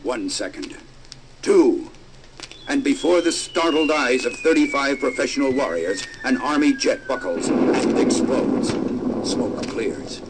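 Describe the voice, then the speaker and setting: A man's voice: medium pitch, wildly swooping pitch, a little slow, heavy background noise. A man giving dramatic, portentous scripted narration for a superhero radio drama. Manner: theatrical, portentous. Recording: loud music behind.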